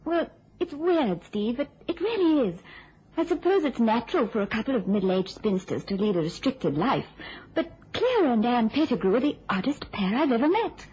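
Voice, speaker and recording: An actress playing a caring, earnest young nurse in an old radio drama, emotive and husky. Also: female voice, a little high, big pitch swings, moderate pace, darkish tone, exaggerated voice. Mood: weary, confiding. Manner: earnest, emotive, crisp phrasing. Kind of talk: mid-century radio drama acting. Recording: muffled old-time radio recording.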